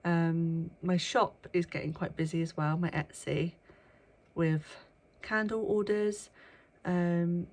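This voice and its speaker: Young woman, vlogger, a touch low-pitched, nasal from a cold, chatty and hushed at times. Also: fairly even intonation, slow. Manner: chatty, with frequent ums, sometimes hushed. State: bunged up with a cold. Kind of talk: casual chat to camera. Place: workplace indoors, early morning.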